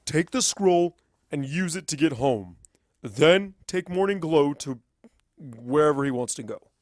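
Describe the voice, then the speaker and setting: A man voicing a weary, resolute wizard pony who is a father, speaking gravely and firmly in a story reading. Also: a little high, lively intonation, a little slow, dry recording, studio quality, voice pushed up. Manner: grave, firm.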